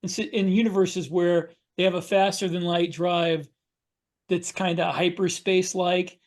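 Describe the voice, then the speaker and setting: A man in casual panel discussion on a video call, explaining in a halting, conversational way. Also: sits high, level tone. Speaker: podcast panelist and sci-fi author.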